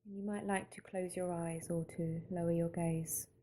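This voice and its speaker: Female meditation guide, lowish and very warm, relaxed tone, calm slow phrasing, gentle instruction. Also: slight background noise. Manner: calm, unhurried, gentle instruction with long pauses.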